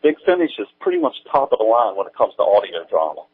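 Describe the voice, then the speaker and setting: A man speaking as an interview guest, chatting casually in a halting, folksy, informal way. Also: fairly low pitch, very animated, slightly rough. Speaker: guest, audio drama creator. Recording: tinny, phone-like band-limited line.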